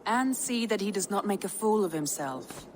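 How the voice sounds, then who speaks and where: A woman playing a composed, wryly amused Viking-age advisor in a video game, with measured delivery. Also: medium pitch, animated, slight background noise, natural voice, low-quality recording. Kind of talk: dramatic video game acting.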